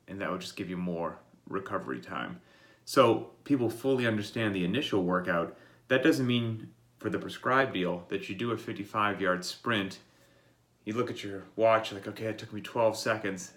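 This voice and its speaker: A man's voice: fairly low pitch, a bit bright. A man coaching and explaining the day's workout to his members while congested from a cold. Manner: plain, explanatory, talks straight to the listener. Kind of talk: daily workout briefing.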